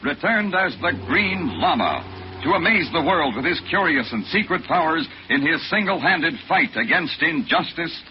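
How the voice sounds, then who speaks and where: Muffled old radio with faint noise. A man performs a dramatic serial announcer in a raised, fairly high voice. Each phrase is grand and declamatory, in 1940s style. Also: lively intonation, moderate pace, lightly acted. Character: dramatic announcer introducing an adventure hero.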